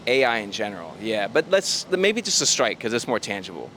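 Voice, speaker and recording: A man's voice: on the low side, big pitch swings, fairly quick, a bit bright. An agreeable man is interviewing a guest out on a city street, asking loose, rambling questions. He affirms often and speaks with an easygoing tone. Faint traffic sounds behind him. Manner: agreeable, many short affirmations.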